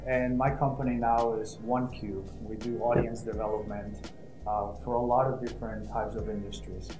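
A middle-aged man answers interview questions in a calm, matter-of-fact way. His voice carries a roomy echo from the glass-walled office, and music plays clearly in the background. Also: lowish voice, moderate pace. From Colombia. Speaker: guest entrepreneur. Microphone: lapel mic.

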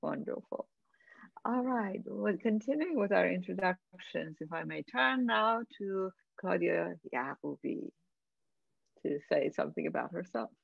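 A woman's voice: on the low side, lively intonation, measured pace, creaky, no room sound, highs cut off. An older woman moderating a video panel, graciously summarizing and affirming the speaker.

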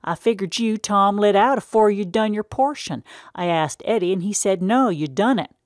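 A stern old country farmer, as a woman narrator puts him on, talking in plain folksy speech. He is raised and firm, louder than the reading voice. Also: medium pitch, moderate pace, no room sound, studio quality, pitched above the performer's own voice, natural voice.

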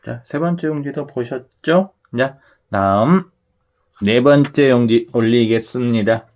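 A man's voice: medium pitch, a little slow, a little mellow. A man with a regional Korean dialect hosts a chatty talk to viewers, often asking them to agree as he goes. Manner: chatty, repeats "그죠?" to the audience. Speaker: lottery channel host.

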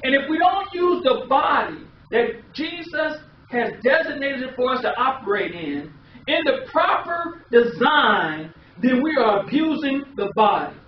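A man's voice: extremely high pitch, big pitch swings, a little slow. A middle-aged American man preaching a sermon in an emphatic way, with repeated phrases and rhetorical questions. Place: small indoor room. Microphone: distant camera microphone. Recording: echoey, muffled, distant camera audio with hiss.

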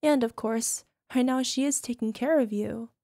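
A very high, girlish voice of a woman acting as a young yandere wife in an ASMR roleplay. She coos and coaxes sweetly, doting yet possessive, with a controlling menace underneath. The recording is dry, with no room sound. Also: normal speed, dark tone, no room sound, lightly acted. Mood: delighted, doting. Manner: cooing, coaxing, sugary with controlling edge. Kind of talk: ASMR roleplay, speaking directly to listener.

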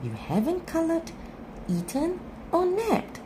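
A woman voicing a kind, reassuring female fox schoolteacher, gentle and encouraging, in a storybook. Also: very high-pitched, huge pitch swings, slow pace, pitched above the performer's own voice, full character voice. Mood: concerned. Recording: clear background noise.

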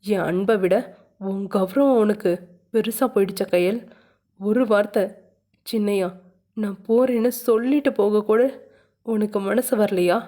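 A woman voicing Veera, a furious, menacing young landlord man who shouts threats, with a mellow voice. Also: medium pitch, a little slow, long pauses, close to an everyday voice.

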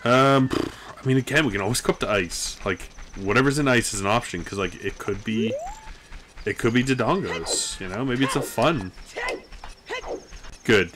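A man with a very low, mumbling voice, casually thinking aloud while he streams a game from his room. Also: moderate pace, noisy background. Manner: thinking aloud, relaxed and rambling. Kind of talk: casual gameplay commentary. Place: home room at a desk. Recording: game music playing clearly beneath. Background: game music.

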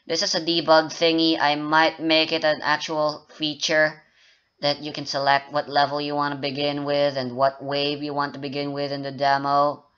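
A man's voice: on the high side, fairly bright tone, smooth, dull audio. A man rambling casually and joking at his own expense during a game development update. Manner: rambling, self-mocking, joking about his voice. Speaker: indie game developer and YouTuber.

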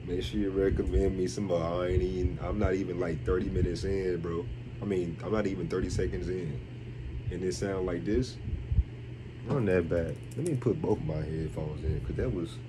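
A man's voice: low-pitched, steady tone, moderate pace, background noise. A young man reacting to a song in casual, slangy chat, urging viewers to like and subscribe. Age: young adult. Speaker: music reaction vlogger. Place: small bedroom, indoors.

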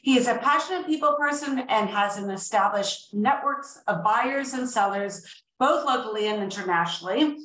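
A woman's voice: a little high, moderate pace, a bit bright. A woman hosting an online interview over a video call, enthusiastic with gushing interjections. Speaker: talk show host. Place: room with large windows at home. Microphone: video call webcam at a distance. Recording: echoey room, distant mic, faint noise.

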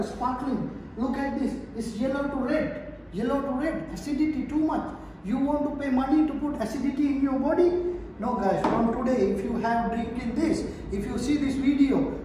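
A man's voice: high voice, darkish tone. A middle-aged man with a Sri Lankan accent giving an emphatic sales demonstration, repeating his phrases as he presents. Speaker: product presenter and distributor. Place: indoor presentation room. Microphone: distant camera microphone. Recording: very echoey room, distant mic, faint noise.

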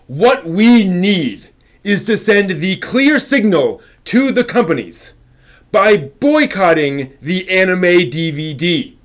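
A middle-aged man performs a dramatic reading in a notably high voice. He goes slowly, stretching and stressing words with overblown, impassioned theatricality. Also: very animated. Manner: impassioned, overwrought, emphatic delivery with drawn-out words. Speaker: host reading an old forum post aloud. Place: small home room with shelves, indoors.

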